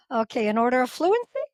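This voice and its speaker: Chatty woman, slightly high voice. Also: dry recording, studio quality. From Canada, Edmonton. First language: English. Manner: animated, chatty storyteller with frequent fillers. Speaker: guest, interpreter and former actress. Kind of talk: casual podcast interview.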